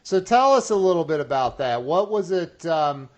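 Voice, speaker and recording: A man's voice: on the high side, sing-song, normal speed. A man hosting a podcast, talking casually with many restarts and chuckling at his own jokes. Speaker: podcast host. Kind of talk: casual interview chat.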